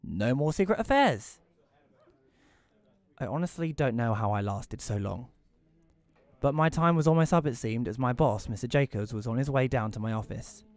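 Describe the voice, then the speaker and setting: A man playing a bitter, reclusive widower who narrates an audio play in a wry, deadpan way. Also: medium pitch, moderate pace, pauses often, close to an everyday voice.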